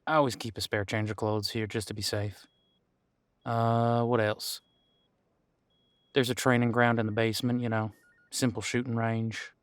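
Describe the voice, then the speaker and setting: A man playing an easygoing veteran police officer in an audio roleplay, chatting casually and candidly with thoughtful pauses. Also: medium pitch, little pitch movement, clear, resonant tone, no room sound, natural voice. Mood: friendly, briefing. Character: easygoing veteran male supernatural police officer showing newcomer around. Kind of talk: audio roleplay acting, one-sided conversation.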